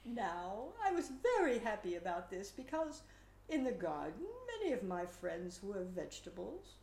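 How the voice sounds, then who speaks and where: A woman's voice: sits high, a little mellow, slight background noise, exaggerated voice. A woman voicing a cheerful, chatty magic pickle slice that explains things and bursts into song, in a roomy-sounding recording. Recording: roomy echo.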